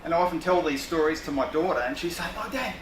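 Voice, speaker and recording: An Australian man telling a relaxed personal anecdote to a group, with lots of ums and uhs. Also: a little high, quick pace. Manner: relaxed, with many fillers. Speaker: workshop participant. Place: room with a group of people. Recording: echoey room with noise.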